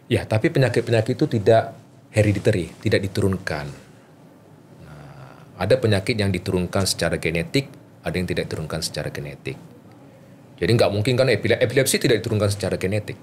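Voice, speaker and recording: A man's voice: fairly low pitch, expressive, fast talker, long pauses, slight background noise. A man speaking as a guest doctor, explaining medical matters informally, with repeated points and rhetorical questions. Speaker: doctor, fertility specialist guest.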